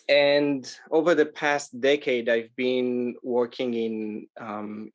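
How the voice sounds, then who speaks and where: Guy from israel chatting as podcast guest, medium pitch, pauses a lot mid sentence. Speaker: interview guest, sustainable tourism organization manager. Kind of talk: conversational podcast interview.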